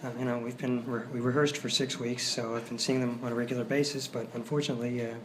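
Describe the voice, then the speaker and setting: A noisy live recording of a man with a mid-pitched voice. He speaks informally and gratefully to an audience as the show's host, feeling his way through his words. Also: little pitch movement, fast. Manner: hesitant, grateful. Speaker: writer, director and host of the show. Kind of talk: informal thank-you speech to a live audience. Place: hotel performance space, live audience.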